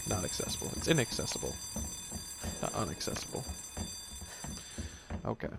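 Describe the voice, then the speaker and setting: A man's voice: fairly low pitch, very animated, normal speed, vocal fry, heavy background noise. A man giving casual let's play commentary, muttering to himself in a wry, joking way and swearing now and then. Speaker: video game streamer.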